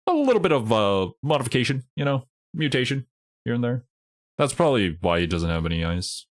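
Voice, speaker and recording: A man's voice: mid-range voice, big pitch swings, fairly quick. A man hosting a casual livestream, bantering and commenting with teasing, playful exasperation. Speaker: host reacting to game trailers with a friend. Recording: music behind the voice. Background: music.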